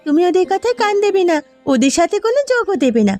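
Woman playing a shrill, scolding village housewife. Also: sing-song, fast talker, a little mellow, faint music behind. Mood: firm, advising. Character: protective, scolding village housewife, proud and insistent. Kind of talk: cartoon dialogue.

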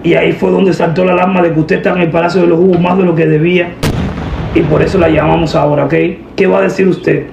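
A young woman making a deadpan prank phone call, giving instructions in an official-sounding manner. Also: on the low side, fast talker, creaky, reverberant, noisy background. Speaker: prank caller, host. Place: indoor room at a table. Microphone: camera a short distance away.

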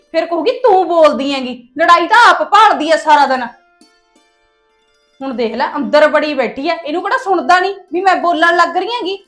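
A woman's voice: very high voice, fairly bright tone. A woman playing a grumbling village housewife. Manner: mutters complaints to herself. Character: grumbling village woman, overworked and sharp-tongued. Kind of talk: amateur comedy skit acting.

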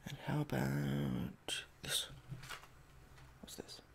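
Hesitant young man, creaky ASMR voice. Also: a bass voice, very animated. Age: young adult. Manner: halting, hesitant, awkwardly polite. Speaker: ASMR performer playing a medical examiner. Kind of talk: soft-spoken ASMR roleplay. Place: small domestic room indoors.

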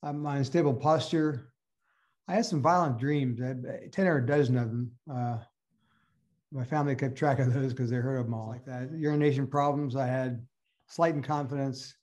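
An older man with a raspy voice speaking slowly and haltingly, with pauses, on a video call. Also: medium pitch, steady tone, a little mellow. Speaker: patient guest.

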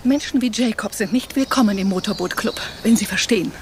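A woman's voice: medium pitch, brisk, background noise, close to an everyday voice. An actress playing a snobbish, discreetly disapproving woman in a crime radio play. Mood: haughty, confiding.

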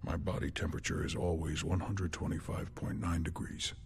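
A rumbling, gravelly alien warrior. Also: male voice, fairly quick, slight background noise. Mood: solemn. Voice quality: deep, gravelly, flat. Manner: solemn, literal. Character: hulking literal-minded alien warrior man.

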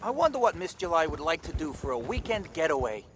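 An actor playing a talkative, geeky, nervous young soldier with a wry manner in a military video game. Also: male voice, on the high side, big pitch swings, fast talker, music in the background. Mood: uneasy. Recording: loud vehicle and outdoor game noise.

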